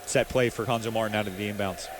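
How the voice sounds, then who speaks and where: A man's voice: lowish voice, steady tone, fast. An American man giving live color commentary and analysis from a noisy arena broadcast. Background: arena ambience.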